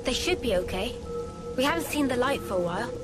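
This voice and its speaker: A woman's voice: a little high, expressive, fast, over loud music, lightly acted. A woman playing a brash, playful young female fighter in a video game, with a British accent and cheeky, punchy slang. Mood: alert. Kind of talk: video game cutscene acting.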